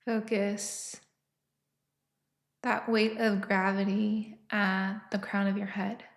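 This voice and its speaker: A woman leading a guided meditation with soft, soothing, unhurried instruction and long pauses between phrases. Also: mid-range voice, flat delivery, gravelly, echoey room.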